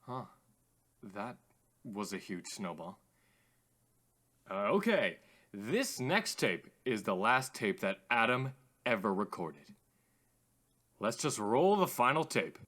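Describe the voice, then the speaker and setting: A man's voice: lowish voice, very animated, a bit bright, heavily acted. An actor in a radio drama playing an uneasy, hesitant male radio host. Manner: hesitant, halting. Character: uneasy radio host presenting a dead man's tapes.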